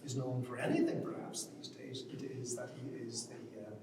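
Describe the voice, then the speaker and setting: A man with an Irish accent giving an informal literary talk as an academic, halting and often repeating words as he searches for his phrasing. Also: mid-range voice, fairly even intonation, a crowd in the background. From Ireland. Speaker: academic speaker commenting on a play. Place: large echoing hall at a public event. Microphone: distant microphone. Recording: very echoey hall from far off, noisy.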